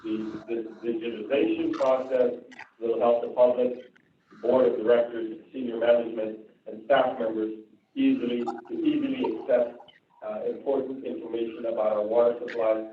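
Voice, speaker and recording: A man with an Indian accent reading policy items at a public board meeting, often pausing with "uh", restarting words and adding casual asides. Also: mid-range voice, steady tone. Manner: reads from notes with frequent "uh" and restarts, adds casual asides. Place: meeting room. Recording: distant, phone-like, roomy with faint hiss.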